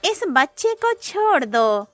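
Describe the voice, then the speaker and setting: A woman plays a brave, caring cartoon deer with a fairly high voice. The deer is earnest, worried and protective, speaking over light music. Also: sing-song, slow, a bit bright, dry recording, full character voice. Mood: firm. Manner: earnest, concerned. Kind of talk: cartoon moral-story acting.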